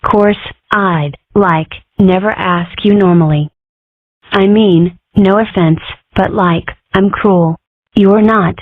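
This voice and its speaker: A woman's voice: mid-range voice, steady tone, slow pace, no echo. A woman voicing a snobby, cool cartoon schoolgirl who drawls condescendingly with lots of filler words. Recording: tinny, phone-like audio.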